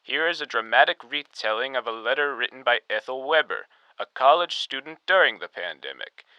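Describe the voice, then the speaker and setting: A man's voice: medium pitch, very animated, brisk, pushed and bright, no room sound, dull audio. A man playing a formal, theatrical 1930s radio announcer who narrates in a grave, scripted style. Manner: crisp, formal, dramatic delivery.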